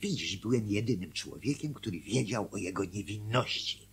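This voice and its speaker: An actor playing an anxious, guilt-ridden small-town husband in a radio play, hesitant and agitated in conversation. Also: male voice, on the low side, animated, normal speed, breathy edge, heavily acted. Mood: guilty, defensive. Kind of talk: Polish radio play acting.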